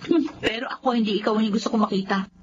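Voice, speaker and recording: Woman acting high-pitched stubborn young Filipina rival. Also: quick pace, lightly acted. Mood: annoyed, defiant. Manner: coaxing, insistent. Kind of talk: Filipino romantic radio drama acting. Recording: muffled lo-fi audio with clear background noise.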